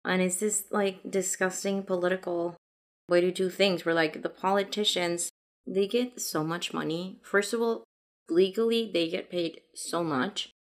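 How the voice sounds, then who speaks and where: Mexican lady podcasting, kinda low voice, spanish accent, chatty and laughy. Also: fairly even intonation, a little slow. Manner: chatty, laughs often, explains at length. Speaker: podcast co-host. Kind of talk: casual chat.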